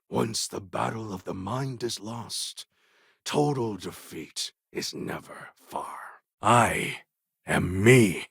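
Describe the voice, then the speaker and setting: A fierce, proud rebel warlord for a video game. His voice is low and heavily rasped, with gravel in it. He speaks in grave, formal phrases with wide swings of pitch, brooding and commanding. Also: fairly bright tone, no room sound, studio quality, full character voice. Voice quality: husky, gravelly. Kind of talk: video game character acting.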